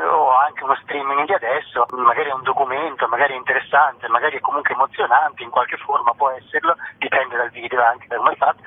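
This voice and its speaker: Over a thin phone line with faint noise, a man answers as an interview guest from the theatre world. His pitch is average and he talks discursively, rewording his points as he goes. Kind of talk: conversational radio interview. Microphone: telephone. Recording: noisy, tinny phone line.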